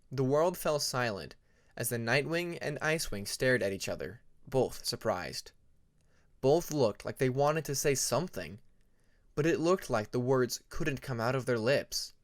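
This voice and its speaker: A man reads scripted fantasy fiction as a narrator. His voice sits at a middle pitch and moves expressively up and down. The recording is dry, with little room sound. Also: dry recording. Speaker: audiobook narrator of fan fiction.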